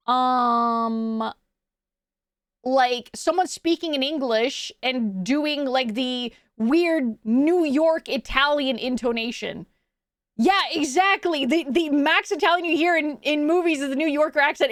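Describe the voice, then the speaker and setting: A woman's voice: high-pitched, a bit bright, no room sound, studio quality. A young adult woman streaming from home, chatting casually with her chat. She rambles, restarts her sentences often and laughs a lot.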